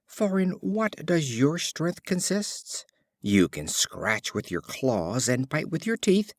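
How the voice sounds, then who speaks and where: A man's voice: on the high side, very animated, slow, no echo, clean studio sound, pitched above the performer's own voice, full character voice. A man voicing a boastful, defiant little gnat from a fable, in a declamatory manner.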